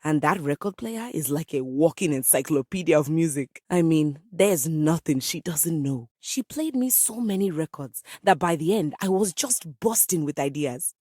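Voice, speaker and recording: A living grand piano from a video game, a proud diva composer with a lowish, slightly husky voice. She speaks formally and with great drama, swooping widely in pitch. The sound is close and dry. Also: no room sound. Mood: excited, proud. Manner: refined, formal, theatrical, grandly expressive. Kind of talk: theatrical video game character dialogue.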